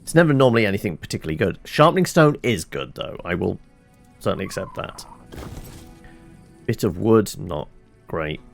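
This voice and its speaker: A man's voice: on the low side, animated, normal speed, creaky, long pauses, soft background music. A man giving casual let's-play commentary, muttering his thoughts aloud as he plays and swearing now and then. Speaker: gaming channel host.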